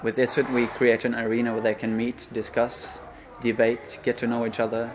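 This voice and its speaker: A man's voice: medium pitch, monotone, moderate pace, background noise. A man in his twenties with a Norwegian accent, giving a documentary interview as a student festival president. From Norway. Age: 20s.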